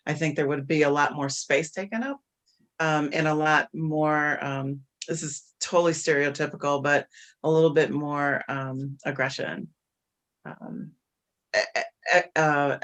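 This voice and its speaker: A woman in a casual online discussion, speaking tentatively and pausing to find words. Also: low voice. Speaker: discussion participant. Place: online video call.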